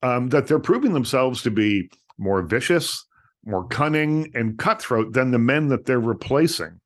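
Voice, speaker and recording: A man's voice: mid-range voice, expressive, measured pace. A man hosting a radio talk show who interviews a guest in a conversational way, asking questions with small fillers.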